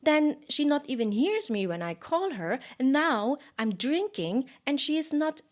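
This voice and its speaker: A woman's voice: high voice, big pitch swings, unhurried, voice pushed up. A woman voicing a small, spoiled boy who rants indignantly. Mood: furious. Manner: indignant, ranting.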